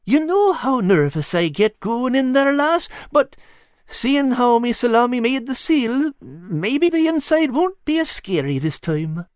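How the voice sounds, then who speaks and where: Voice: mid-range voice, big pitch swings, a little slow, smooth, dry recording, studio quality, voice pushed up. A narrator voicing an ever-hungry male cat who speaks in a folksy dialect. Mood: nervous. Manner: folksy dialect, says lass and me. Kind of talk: storybook character voice.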